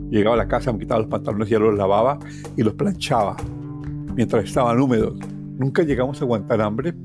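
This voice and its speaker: A middle-aged man with a Colombian accent tells his life story reflectively, repeating words for emphasis and ending phrases with little tag questions. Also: medium pitch. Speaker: journalist telling his life story as podcast guest. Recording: soft plucked guitar music behind.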